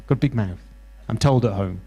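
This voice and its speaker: British male facilitator, mellow, encouraging, halting delivery, faint mains hum. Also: on the low side, breathy edge. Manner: encouraging, halting with many restarts. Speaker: workshop facilitator. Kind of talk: interactive workshop teaching. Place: university hall workshop.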